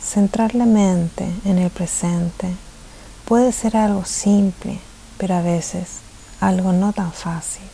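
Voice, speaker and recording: A woman leading a guided meditation, soft-spoken and soothing, with gentle pauses and a tendency to drift toward a breathy near-whisper. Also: medium pitch, steady tone, rough recording. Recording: faint hiss behind the voice.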